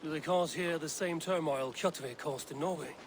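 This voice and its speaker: An actor playing a stoic Viking warrior leader in a video game, talking in a terse, dry and guarded way. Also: male voice, on the high side, moderate pace, a little hiss or hum, close to an everyday voice. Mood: reserved, firm. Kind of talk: scripted dramatic game dialogue.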